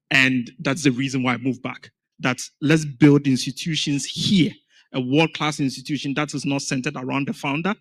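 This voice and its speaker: A man from Ghana speaks as a guest on a conference panel. He tells his story earnestly and presses his points with emphasis. His voice sits at an ordinary middle pitch. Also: fairly bright tone. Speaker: panel guest, founder of an education organization. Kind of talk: panel conversation.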